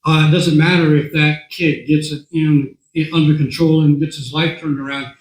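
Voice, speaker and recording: A man with a hoarse voice speaking to a meeting as a guest speaker, talking in a conversational way, repeating words and often clearing his throat. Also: a little high, flat delivery, normal speed, roomy sound, poor audio. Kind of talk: talk to a meeting. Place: meeting room.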